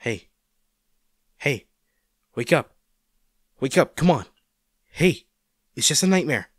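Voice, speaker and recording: A man's voice: mid-range voice, lively intonation, slow pace, a bit bright, no room sound, studio quality. A man acting a caring, worried boyfriend in an intimate audio roleplay, speaking tenderly and coaxingly to his injured partner. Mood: urgent calming. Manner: tender, coaxing, hesitant, speaking directly to the listener.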